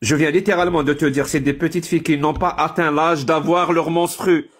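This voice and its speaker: A man with a slightly raised, husky voice pushes his point in an online debate. He presses his opponent with pointed questions and refuses to let them drop. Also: on the high side, steady tone, a little fast.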